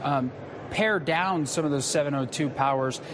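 Male field reporter, fairly high voice, noise hissing behind him. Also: normal speed. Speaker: news correspondent. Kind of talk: news report.

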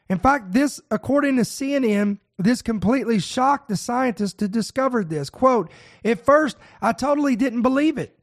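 A man with a head cold and a nasal, congested voice, hosting a prophecy news podcast and reading articles aloud with earnest, preacher-like emphasis. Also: high-pitched. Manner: earnest, preacher-like emphasis, stretches key words slowly. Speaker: podcast host.

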